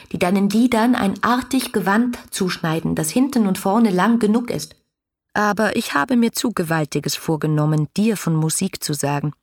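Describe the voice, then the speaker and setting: A husky-edged female voice at medium pitch, playing an ardent letter writer in a literary radio drama. She is effusive and playful, teasing the man she admires with open devotion. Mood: fervent. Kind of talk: literary radio play, letters read aloud.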